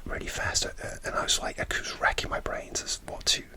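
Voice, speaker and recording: A man whispering close to the mic, telling a rambling story for an ASMR video with many pauses and fillers. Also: sharp tone. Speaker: ASMR creator.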